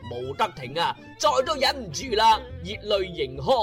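A man with a Cantonese accent from Guangdong narrates a comic audio drama, animated and wry, stretching phrases and adding interjections. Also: high-pitched, normal speed, over music. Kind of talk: dramatic comic scripted storytelling.

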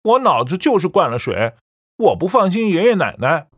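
A woman's voice: lowish voice, very animated, normal speed, slightly rough, no echo, studio quality. A woman voicing a defiant young man who talks back to his mother in a cold, scornful, lecturing way. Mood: stubborn.

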